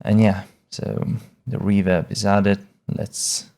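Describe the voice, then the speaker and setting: Slow, low-voiced man giving a tutorial. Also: flat delivery, gravelly, warm tone. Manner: hesitant, halting delivery with repeated words. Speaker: tutorial presenter. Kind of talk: casual software tutorial narration.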